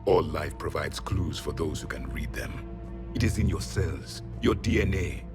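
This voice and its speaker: Deep, grave alien warrior. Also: male voice, moderate pace, over music. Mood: cold, grave. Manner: grave, disdainful, clipped pronouncements. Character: proud, bitter alien warrior, last survivor of his race.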